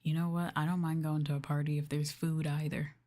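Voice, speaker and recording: A woman talking softly and breathily, often near a whisper, in an unhurried, musing ASMR livestream chat with viewers. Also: deep voice, little pitch movement. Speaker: VTuber livestream host. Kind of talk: ASMR soft-spoken casual chat.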